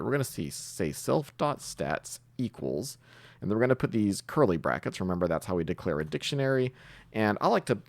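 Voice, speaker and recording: A man with a very low voice and plenty of vocal fry talks through a coding tutorial in a casual way. His intonation moves around a lot as he thinks aloud, explaining each step while he works. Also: a little mellow, no echo. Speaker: programming tutorial host.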